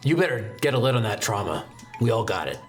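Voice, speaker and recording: A man's voice: mid-range voice, very animated, fast talker, soft background music, pitched below the performer's own voice, natural voice. A man voicing a blunt, teasing old sword master in a tabletop game, with a dry manner. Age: older.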